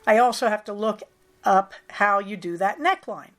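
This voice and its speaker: A woman's voice: mid-range voice, moderate pace, a bit bright. A middle-aged woman hosting a knitting podcast, chatting through her projects in a wry, matter-of-fact way while she recovers from a cold. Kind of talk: casual chatty project update.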